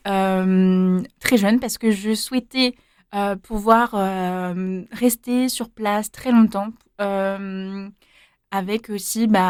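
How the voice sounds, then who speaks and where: A young woman talks about her time abroad in a relaxed interview. She hesitates often and fills pauses with small sounds, laughing easily. Her voice sits at a middle pitch and sounds dry and close. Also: clear, resonant tone, dry recording. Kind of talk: casual interview.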